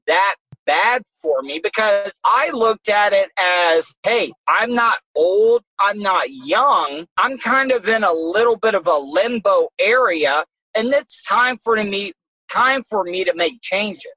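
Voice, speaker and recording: A man's voice: high-pitched, expressive, slow pace, sharp tone, poor audio. A man in his forties hosting a podcast, chatting casually and bluntly, swearing freely and acting out quotes. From United States. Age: 40s. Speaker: podcast host. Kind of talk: casual chat.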